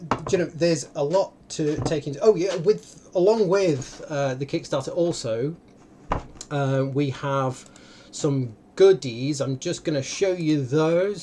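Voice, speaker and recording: A man's voice: fairly high pitch, darkish tone. Man hosting casually, rambling, faint noise behind. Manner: rambling, halting, self-interrupting. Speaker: host of an online live event. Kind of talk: casual, unscripted livestream talk. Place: indoor room, online video call.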